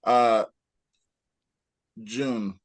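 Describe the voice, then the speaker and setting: A man's voice: medium pitch, fairly even intonation, drawn-out words, dry recording. A man hosting a casual interview, rambling with lots of fillers and restarts. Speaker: host.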